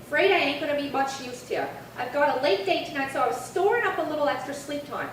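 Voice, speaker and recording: Radio drama role: actress as sassy young female model, high voice, very animated, wisecracking and griping. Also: fast talker. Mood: wary. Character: brash, slangy struggling young model and actress. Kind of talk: 1950s radio crime drama acting. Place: live theatre stage reading. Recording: echoey hall, distant mic, faint noise.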